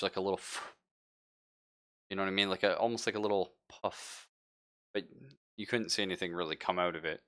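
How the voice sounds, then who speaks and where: A man running a tabletop roleplaying game, describing scenes to players in a halting, conversational way. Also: lowish voice, pushed and bright. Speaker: game master. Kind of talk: improvised narration of a live tabletop roleplaying game.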